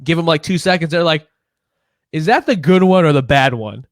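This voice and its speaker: A young man from Illinois co-hosting a podcast, chatting casually, profane and animated, laughing often. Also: on the high side, moderate pace, no echo, clean studio sound. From Illinois, United States. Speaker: podcast co-host. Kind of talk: casual chat.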